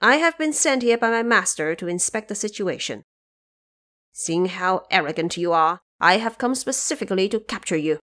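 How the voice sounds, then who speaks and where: A woman's voice: mid-range voice, lively intonation, normal speed, fairly bright tone, natural voice. Young warrior prince played by a woman, dutiful, earnest, formal and declamatory, for fantasy audio drama. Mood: bold.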